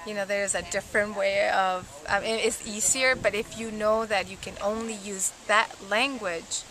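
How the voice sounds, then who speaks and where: A woman's voice: mid-range voice, fairly even intonation, moderate pace, a crowd in the background. A woman from Ecuador who teaches Spanish, answering interview questions with enthusiasm and many fillers.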